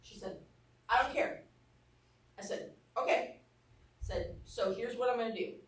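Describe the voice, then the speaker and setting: A woman's voice: fairly high pitch, moderate pace. A woman with an Arkansas accent teaching a real estate class, telling candid stories in a blunt, down-to-earth way. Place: training room. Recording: echoey room, mic far off.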